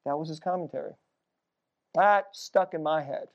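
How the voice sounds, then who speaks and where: A middle-aged Korean American woman telling stories in a sermon, casual and conversational, dropping to a hushed low voice at tense moments. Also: moderate pace. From United States.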